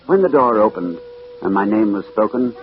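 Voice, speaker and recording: A man acting a troubled gentleman detective of old radio drama, with widely moving intonation. He speaks formally and with hesitation, on a dull vintage recording with music under it. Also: lowish voice, lively intonation, normal speed, natural voice. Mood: brooding, unsettled. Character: troubled gentleman detective narrating his case. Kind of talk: 1940s-50s radio acting, first-person narration.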